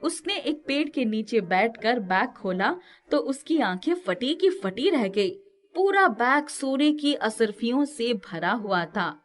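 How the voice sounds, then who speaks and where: A woman narrating a scripted children's moral story with expressive delivery. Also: on the high side, a little slow.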